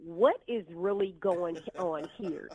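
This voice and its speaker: A woman's voice: lowish voice, takes it slowly, dark tone. Woman on a tinny phone line. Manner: enthusiastic, affirming, steers the topics. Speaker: podcast co-host. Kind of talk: casual sports and college chat.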